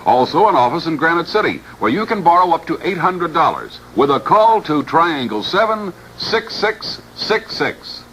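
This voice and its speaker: An old radio recording with faint noise features an American man reading a scripted commercial. His voice is bright and forceful, with lively rises and falls in a 1960s announcer style. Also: on the high side, normal speed, hardly any pauses, low-quality recording.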